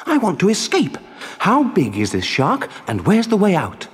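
A storyteller voicing an excitable, frightened young wooden puppet boy who pleads and cries out. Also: medium pitch, normal speed, long reverb tail, pitched above the performer's own voice. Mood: anxious protest. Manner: stammering, crying out.

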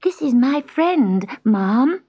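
A woman's voice: mid-range voice, big pitch swings, slow pace, husky, no echo, muffled recording, voice pushed up, heavily acted. A woman voicing a small, timid, kindhearted young cat in a storybook, polite and anxious. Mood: earnest. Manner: deferential, anxious.

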